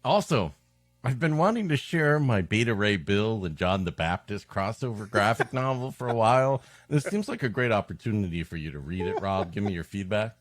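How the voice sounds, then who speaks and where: Male podcast co-host, lowish pitch, ironic joking, casual banter, faint music behind. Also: animated, moderate pace, no echo.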